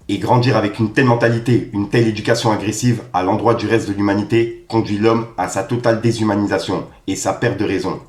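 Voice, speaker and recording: A man's voice: fairly low pitch, reverberant. A man hosting a casual rap news roundup, reading posts aloud in street slang.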